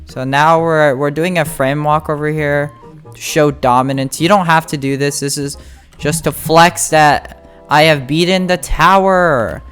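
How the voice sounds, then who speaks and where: A casual gameplay guide host giving tutorial commentary in an informal, halting way, thinking aloud. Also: medium pitch, fairly even intonation, fairly bright tone, smooth. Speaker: game guide video host. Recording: music behind the voice. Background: music.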